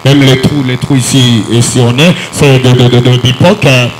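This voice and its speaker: A man's voice: medium pitch, steady tone, moderate pace, noisy background. A man with a Congolese French accent explaining a word on a radio show, repeating syllables. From Bukavu, DR Congo.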